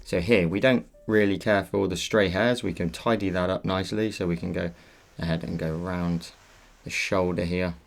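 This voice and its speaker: Man, deep voice, slightly croaky; patient tutorial host guiding beginners. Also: steady tone, moderate pace. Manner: patient, instructive walkthrough. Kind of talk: step-by-step drawing tutorial.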